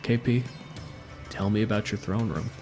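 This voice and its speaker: Laid-back low-voiced game master. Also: male voice, steady tone, moderate pace, music in the background. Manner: chatty, laid-back with frequent fillers. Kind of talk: narrating a tabletop game.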